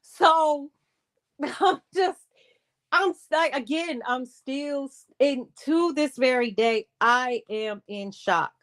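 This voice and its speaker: A woman telling a personal story in a casual livestream style, re-enacting conversations and repeating phrases for emphasis in a halting way. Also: high-pitched. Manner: animated re-enacting of conversations with quoted dialogue.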